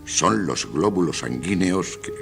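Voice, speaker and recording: An actor playing a learned, authoritative doctor in a radio drama, speaking in a didactic, declamatory way. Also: male voice, sits low, expressive, a little slow, vocal fry, exaggerated voice. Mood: wondering, didactic. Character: learned, authoritative male doctor aboard a submarine, philosophical. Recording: loud music behind the voice.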